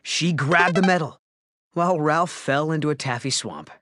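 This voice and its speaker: A man narrating a children's story from a script, with expressive storytelling emphasis. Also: a little high, normal speed, a little hiss or hum.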